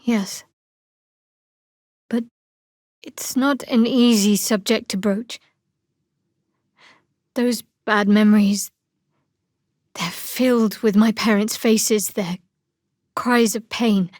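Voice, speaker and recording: A woman playing a guarded, sardonic young female cleric of a dark goddess in a video game, with a dry, wry delivery. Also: mid-range voice, steady tone, slow pace, long pauses, no room sound, lightly acted. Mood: tender, vulnerable. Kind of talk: video game character dialogue. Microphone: close studio microphone, dry.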